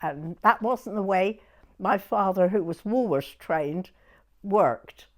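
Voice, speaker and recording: An elderly woman in her nineties with a southern English accent. She speaks slowly at an ordinary pitch, reminiscing in a halting, conversational way and checking now and then that her listener follows. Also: darkish tone. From Dorset, England. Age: 90s. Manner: halting storytelling, often checking the listener understands. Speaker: oral history interviewee.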